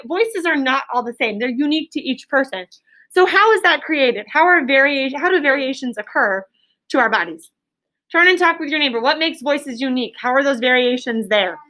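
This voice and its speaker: A woman teacher leading an interactive lesson for young children, encouraging them with praise and explaining in simple, deliberate terms. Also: sits high, expressive, sharp tone, poor audio. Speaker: elementary school teacher. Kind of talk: interactive classroom lesson with questions. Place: classroom.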